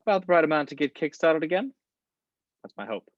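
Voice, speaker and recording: A man reading a suave, polished gentleman spy in an online table read, with a measured, refined delivery. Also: on the high side, very animated. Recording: dry, dull home mic.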